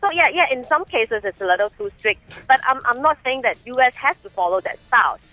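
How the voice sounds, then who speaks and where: Woman from singapore calling in, bright sharp voice, dry close sound, lots of ums. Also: high voice, animated, a little fast, no room sound, soft background music, poor audio. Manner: hesitant, many fillers and restarts. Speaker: caller. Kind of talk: phone-in call.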